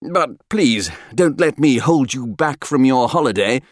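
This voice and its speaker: Casting: bookish young male space crewman, acted by a male narrator, courteous and earnest, very animated intonation, dry recording. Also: medium pitch, measured pace, no echo, voice pushed up. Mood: amiable, earnest. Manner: polite, earnest.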